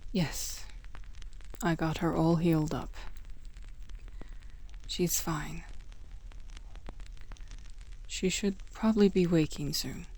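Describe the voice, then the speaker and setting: A woman playing a calm, caring, quietly wry witch in an ASMR roleplay, speaking softly and in a measured, soothing way. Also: on the low side. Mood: calm, reassuring. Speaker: voice actress. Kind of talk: ASMR roleplay acting addressed to the listener.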